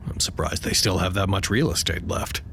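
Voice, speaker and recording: A man's voice: low-pitched, a little fast, gravelly, a bit bright, slight background noise. A man acting in an audio drama as a weary, earnest staff administrator, speaking in a dry, understated way. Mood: businesslike.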